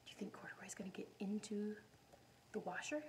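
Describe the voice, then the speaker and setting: A woman's voice: mid-range voice, fairly quick, noisy background. A woman reading a children's storybook aloud like a teacher, expressively, with questions and simple explanations for her listeners.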